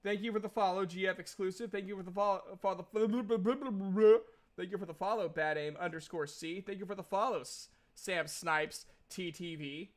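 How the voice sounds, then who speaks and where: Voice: mid-range voice, normal speed. A game master hosting a livestream, chatting casually and recapping a tabletop game in an informal, sweary way. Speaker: game master and stream host.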